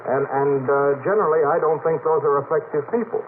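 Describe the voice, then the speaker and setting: A man's voice: fairly high pitch, a little fast. A man arguing as a guest in a radio discussion, choosing his words carefully and analytically with hesitant starts. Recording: noisy, phone-like vintage radio recording.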